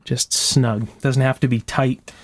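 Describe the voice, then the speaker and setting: A man's voice: mid-range voice, little pitch movement, unhurried, dry recording. A man hosting a hands-on restoration demonstration in a workshop, thinking aloud in a casual, methodical, step-by-step way. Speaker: host of an electronics restoration channel. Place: indoor electronics workshop.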